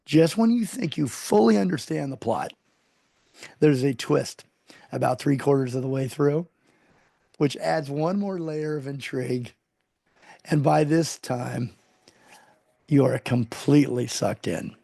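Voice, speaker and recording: A man's voice: medium pitch, measured pace, creaky, long pauses, warm tone, no echo, studio quality. A man hosting an interview show, praising his guest effusively with frequent restarts. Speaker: show host.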